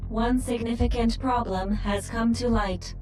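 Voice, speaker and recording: An actress voicing a composed, commanding artificial intelligence in a video game, briefing in a formal, methodical manner. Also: female voice, a little high, steady tone, unhurried, darkish tone, close to an everyday voice. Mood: grave, composed. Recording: echoing voice with music behind.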